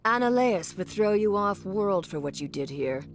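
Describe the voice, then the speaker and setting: An actress playing a hostile, hard-nosed mercenary sergeant in a video game, confrontational and scornful. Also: female voice, medium pitch, lively intonation, moderate pace, faint music behind, close to an everyday voice. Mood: menacing, scornful. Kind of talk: scripted video game dialogue.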